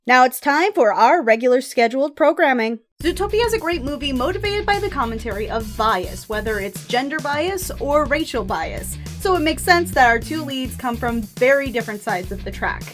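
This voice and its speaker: A woman hosting a scripted video essay, with an informal, opinionated and playfully emphatic manner. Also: fairly high pitch.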